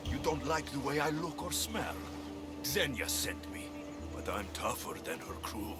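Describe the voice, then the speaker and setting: A man's voice: medium pitch, sing-song, unhurried, loud music behind the voice. A man playing a tough, sardonic mercenary adventurer in a video game, with blunt delivery. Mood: menacing. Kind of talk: video game cutscene acting.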